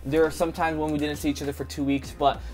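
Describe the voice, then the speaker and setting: A man's voice: fairly high pitch, little pitch movement, fast, a little mellow, faint music behind. Easygoing young man chatting with his wife. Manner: easygoing, chatty, full of "like" and "you know". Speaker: co-host of a couple's channel. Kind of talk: casual Q&A chat.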